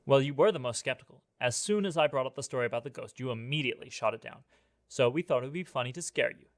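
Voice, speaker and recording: A man's voice: mid-range voice, quick pace, natural voice. A young man playing a flippant, teasing prankster in an amateur radio play. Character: mocking young male prankster friend, feigning fear.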